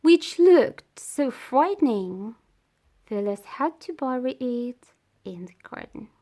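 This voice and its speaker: A woman reads a children's storybook aloud in a fairly high voice. Her pace is extremely slow and careful, with pauses between short phrases. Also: sing-song, mellow. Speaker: storybook reader.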